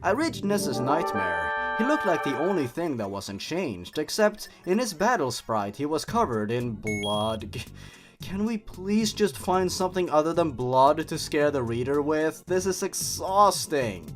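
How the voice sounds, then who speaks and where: Guy narrating a creepy story, super animated up and down, sarcastic exasperated host type, bit of music behind. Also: fairly high pitch, unhurried. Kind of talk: dramatic reading of a horror story with mocking asides.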